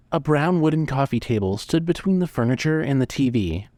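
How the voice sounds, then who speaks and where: A man reads dramatic fiction as its narrator. His voice sits at an average male pitch, and the delivery is measured and scripted. The sound is dry, with hardly any room in it. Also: darkish tone, no echo.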